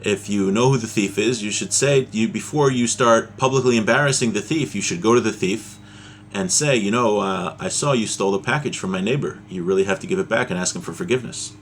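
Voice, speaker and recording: Low-voiced man teaching, noisy background. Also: brisk. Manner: explanatory, with everyday examples. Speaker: religious teacher. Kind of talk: informal religious text lesson, reading and explaining.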